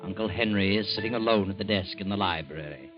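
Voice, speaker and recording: Deep-voiced man narrating a radio drama. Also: quick pace. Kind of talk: scripted narration. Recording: dull old radio recording with music.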